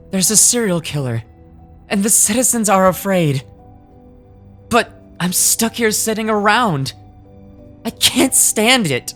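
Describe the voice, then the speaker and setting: A woman acts out an earnest young police rookie from an anime dub. The voice sits high and swings widely in pitch, excitable and easily flustered, blurting every thought. Light music runs underneath. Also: exaggerated voice. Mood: frustrated. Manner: excitable, thinks out loud, blurts things.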